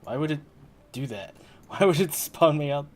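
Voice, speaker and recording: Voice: mid-range voice, expressive, moderate pace, darkish tone. A game streamer giving casual gameplay commentary in sweary, offhand muttered remarks.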